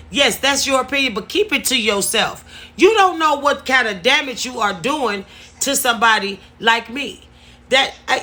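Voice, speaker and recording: A woman's voice: fairly high pitch, sharp tone. A woman, talking casually to her viewers and stressing points by repeating words. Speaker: vlogger. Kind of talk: casual story-time talk to camera.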